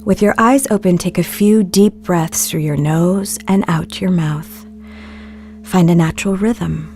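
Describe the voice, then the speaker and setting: Creaky, serene meditation guide. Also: female voice, on the low side, faint music behind. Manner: calm, soothing, deliberate. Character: serene film-star guest meditation guide, a woman, soothing and earnest. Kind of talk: guided meditation in an audio play.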